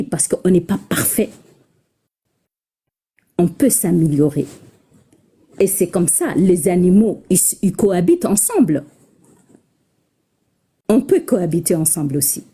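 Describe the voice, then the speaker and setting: A woman with a husky voice chatting casually, hesitant, repeating herself and laughing often. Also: fairly low pitch, very animated, a little slow, long pauses, dark tone. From Africa, Ethiopian mother and Senegalese father. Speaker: guest in an online conversation. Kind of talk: casual chat.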